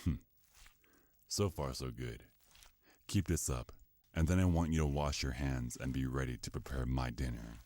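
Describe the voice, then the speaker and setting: A man playing a sinister, condescending vampire mafia boss in an ASMR roleplay, speaking in a formal, menacing, drawn-out way. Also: a bass voice, fairly even intonation, darkish tone, slight background noise. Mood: smug, pleased.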